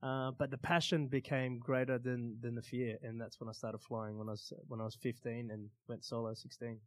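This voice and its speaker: A man with an Australian accent telling personal stories as an interview guest, in casual, halting phrases with pauses. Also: mid-range voice, level tone, no echo. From Australia, born in Iran. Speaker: interview guest, stunt pilot and motivational speaker.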